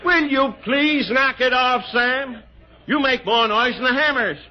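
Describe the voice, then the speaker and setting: An actor playing a flustered, bossy American film director in a 1960s radio thriller. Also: male voice, extremely high pitch, a little slow. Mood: exasperated, bellowing. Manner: hesitant, hemming, then loudly bossy. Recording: dull old radio broadcast, busy background din.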